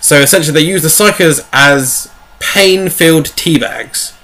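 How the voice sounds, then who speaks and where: A man's voice: fairly high pitch, a little slow, sharp tone. A man hosting a podcast, recapping in a casual, rambling, jokey way with wry asides. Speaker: podcast host. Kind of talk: casual chatty book recap.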